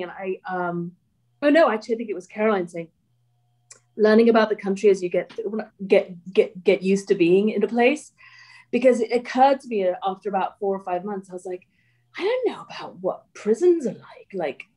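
A woman's voice: fairly high pitch, a little mellow. A woman writer chatting on a video call panel, rambling and hesitant, often trailing off and starting over. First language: English. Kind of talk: casual panel talk.

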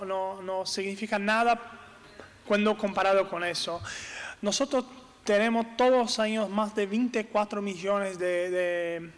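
Brazilian man in his thirties, conference speaker, high smooth voice, halting delivery with fillers, desk mic in a big reverberant hall. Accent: Brazilian Portuguese. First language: Portuguese. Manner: halting, searches for words with many fillers. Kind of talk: conference talk. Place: large hall with brick walls, on stage at a table. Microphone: desk microphone on stand.

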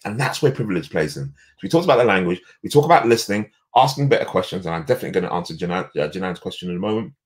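A middle-aged man with a deep, resonant voice, talking emphatically as a guest on a video call from a home office. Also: racing, hardly any pauses. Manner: animated, emphatic storyteller. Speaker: guest, leadership coach.

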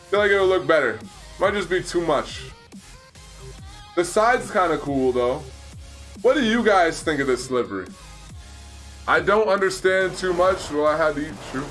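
Young guy on a headset streaming, high-ish voice, talks to chat nonstop, laughs a lot, faint game music behind. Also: fairly bright tone. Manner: chatty, reads and answers chat, laughs often. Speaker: livestream host. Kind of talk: casual gaming livestream chat. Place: small room indoors. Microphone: headset mic.

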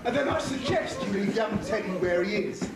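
A man's voice: high voice, lively intonation, moderate pace, over a noisy crowd. A man playing a heroic, reassuring rescuer in a pantomime, speaking firmly and projecting to the hall. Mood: firm reassurance. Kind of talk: amateur pantomime stage acting. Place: stage in a hall, recorded from afar. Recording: echoey hall, noisy old camcorder tape.

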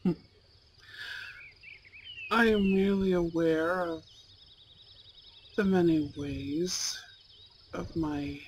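A performer voicing a possessive, suave young man in an intimate ASMR romance roleplay, speaking in formal, deliberate phrases. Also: medium pitch, slight background noise, heavily acted. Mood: probing, jealous. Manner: slow, formal, deliberate phrasing, velvety menace. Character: possessive, suave young man, elite school bad boy boyfriend. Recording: clear background insect and nature ambience. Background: crickets and outdoor nature sounds.

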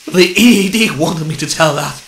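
A man's voice: fairly high pitch, moderate pace, creaky, fairly bright tone. A man playing a rattled, defensive space officer under interrogation in a science fiction audio drama, agitated and stammering. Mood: rattled, pleading. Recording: echoey room with faint noise.

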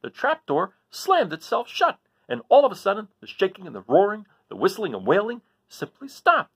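A man's voice: sits high, huge pitch swings, moderate pace, husky, pitched above the performer's own voice, heavily acted. A man voicing a folksy little dog who narrates a scary adventure in rural American dialect, as in a children's read-aloud. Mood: breathless, scared.

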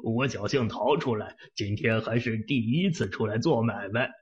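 A man's voice: lowish voice, normal speed, husky, muffled recording. A man voicing a stammering, fawning pirate captain. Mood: ingratiating. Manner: obsequious, stammering. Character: fawning, frightened bearded male pirate captain. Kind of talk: audiobook character voice.

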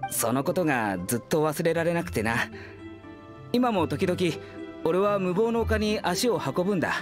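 A man's voice: high voice, animated, moderate pace. Earnest young male undertaker for an anime game; brooding, troubled, over background music. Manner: serious, brooding.

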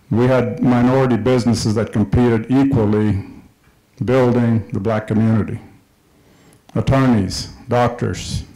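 A man's voice: medium pitch, warm tone, poor audio. A middle-aged man from Kenya speaking at a public session, delivering an impassioned, emphatic speech that repeats its key points. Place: large hall with a screen, indoors. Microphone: handheld microphone.

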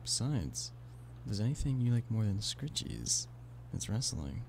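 A man's voice: fairly low pitch, measured pace, creaky, exaggerated voice. A man playing an affectionate, playful boyfriend in an ASMR roleplay, teasing and pleading in an intimate one-sided conversation. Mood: playful challenge. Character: playful, affectionate human boyfriend coaxing a stubborn cat-person. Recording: steady background noise of rain. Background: rain.

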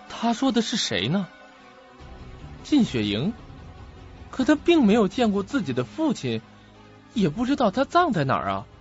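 An actor playing a puzzled, anxious young man in a radio drama, speaking hesitantly and questioning himself. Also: fairly high pitch. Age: young adult. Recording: muffled lo-fi audio, music behind.

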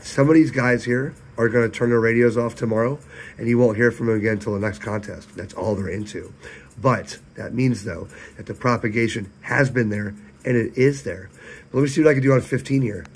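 A man with a hoarse, sick voice, casually chatting about his ham radio setup at night. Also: medium pitch, brisk. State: hoarse, losing his voice from illness. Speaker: ham radio video host. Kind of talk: casual vlog narration with radio contacts. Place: home radio shack at night.

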